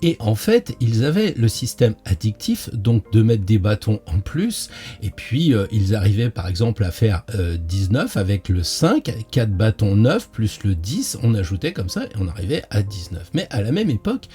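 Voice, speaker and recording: A man's voice: fairly low pitch, sing-song, moderate pace, mellow, soft background music. A man hosting a tarot channel, explaining in a casual, playful way and talking straight to the viewer, with frequent hesitations. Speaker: tarot teacher and channel host.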